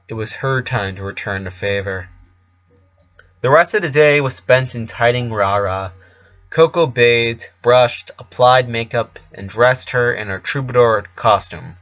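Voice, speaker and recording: A man reading a scripted story as an amateur narrator, halting and stumbling a little over the text. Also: medium pitch, a bit bright, lo-fi sound.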